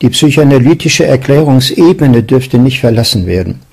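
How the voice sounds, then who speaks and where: A man giving an academic explanation as an expert, formal and deliberate. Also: mid-range voice, expressive, gravelly, a little mellow, breathy edge. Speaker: expert commentator.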